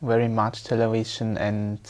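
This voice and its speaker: A man's voice: fairly low pitch, completely flat delivery, moderate pace, darkish tone. A young man from Switzerland, answering an exam speaking test in careful, hesitant English and often repeating words as he searches for them. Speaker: exam candidate.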